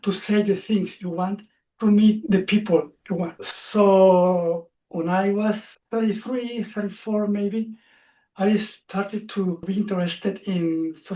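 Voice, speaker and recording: A man in his forties, speaking English as a second language, telling his story as a coach guest in a reflective, halting way. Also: high-pitched, mellow, distant mic, poor audio. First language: not English. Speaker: social anxiety coach. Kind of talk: interview guest, personal story.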